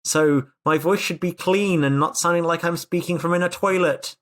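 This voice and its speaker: A man's voice: on the high side, moderate pace, fairly bright tone. A man co-hosting a casual podcast, joking emphatically and repeating words for comic effect. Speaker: podcast co-host and player.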